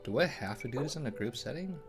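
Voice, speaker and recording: A man's voice: mid-range voice, animated, quick pace, music in the background. A man live-streaming a video game with casual, rambling, sweary commentary.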